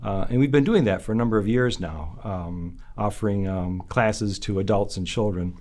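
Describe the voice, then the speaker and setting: A man who grew up speaking Italian at home, answering interview questions with many pauses and fillers. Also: fairly low pitch, a little mellow. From United States. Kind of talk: casual recollection.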